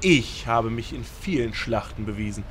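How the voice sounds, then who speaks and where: An actor playing an overeager paladin who introduces himself with grand, theatrical self-importance. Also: male voice, lowish voice, expressive, moderate pace, a bit bright, a little hiss or hum. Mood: grandiose. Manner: grandiloquent, then flustered. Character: earnest male paladin hero overeager role-player. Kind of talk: comedy audio drama.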